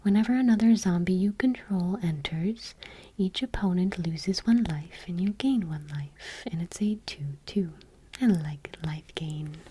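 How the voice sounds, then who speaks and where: A woman host speaking in a soft, breathy whisper, gently and without hurry, reading text aloud in ASMR style. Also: lowish voice, measured pace, slight background noise.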